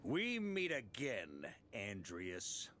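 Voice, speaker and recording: An actor playing a confident, easygoing grandmaster of knights in a video game, with a hearty, self-assured manner. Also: male voice, fairly low pitch, wildly swooping pitch, very slow, fairly bright tone, exaggerated voice. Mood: wary. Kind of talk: video game character acting.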